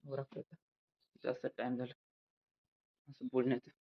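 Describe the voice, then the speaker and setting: A man's voice: mid-range voice, monotone, normal speed, slightly rough, no echo. A young man with a rural western Maharashtra accent chatting casually for a daily vlog, rambling and correcting himself as he goes. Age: young adult. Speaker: vlogger. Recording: dull lo-fi phone sound, background noise.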